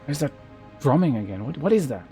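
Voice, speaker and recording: A man's voice: fairly high pitch, very animated, brisk, mellow, faint music behind. A man giving casual, rambling gameplay commentary as a streamer, thinking aloud as he plays. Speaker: game streamer.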